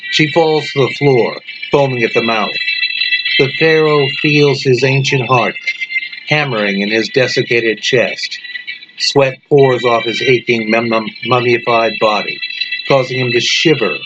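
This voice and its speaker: A man reading a horror story as scripted narration, deliberately, with dramatic pauses. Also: medium pitch. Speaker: story reader. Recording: dull lo-fi recording with loud background noise.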